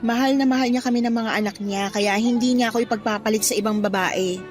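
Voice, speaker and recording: Actress as devoted, defensive wife, high voice, earnest radio drama delivery. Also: female voice, steady tone, fast talker, faint music behind, natural voice. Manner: pleading, earnest. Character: loyal middle-aged wife and daughter, trusting, defensive. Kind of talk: Tagalog radio drama acting.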